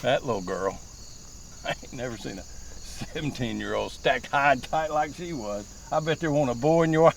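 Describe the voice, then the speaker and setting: A man's voice: mid-range voice, vocal fry, a little hiss or hum, natural voice. A crude country man, played by a man, pious and lewd at once. His voice sits mid-range with a folksy drawl. Faint night insects chirp behind him.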